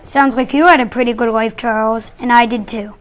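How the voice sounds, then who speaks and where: A woman's voice: fairly high pitch, steady tone, a little fast, natural voice. A young woman playing a proud male astronaut, reading steadily. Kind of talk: school-project role-play reading.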